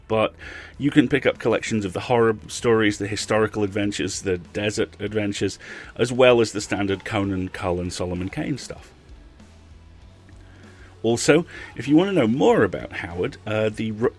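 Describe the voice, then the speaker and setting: A man hosting a podcast, introducing things in a conversational, wry and enthusiastic way. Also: fairly low pitch. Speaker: podcast host.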